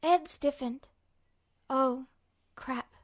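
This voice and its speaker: A woman with a high voice narrates fiction from a script. The recording is very dry, with the sound of a story read aloud for listeners. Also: very slow, no echo. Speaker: podfic narrator.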